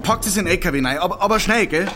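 A man playing a bossy, impatient Bavarian directing a house clearance in a radio drama. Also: sits high, animated, racing, background noise. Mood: impatient, barking. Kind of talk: German radio drama acting.

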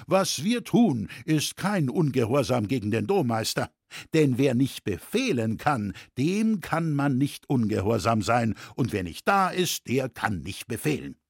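Man doing pushy rabble rouser guildsman, declaiming, husky, pitch all over, audiobook. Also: very animated, measured pace, no room sound, studio quality, heavily acted. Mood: impatient, insistent. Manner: declamatory, argumentative. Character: brash rabble-rousing guildsman, self-assured and impatient. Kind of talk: audiobook character acting.

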